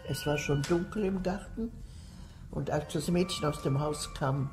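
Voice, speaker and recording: Slow, sinister old lady. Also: sits low, a little mellow, soft background music. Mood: dark, brooding. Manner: slow, deliberate, savoring grim details. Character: sinister, domineering bedridden old aristocratic lady. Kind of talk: German radio thriller acting.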